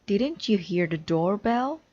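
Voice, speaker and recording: A woman voicing an eager, innocent, literal-minded woman from a children's storybook, in a bright, exclamatory way. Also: medium pitch, lively intonation, slow, muffled recording, voice pushed up.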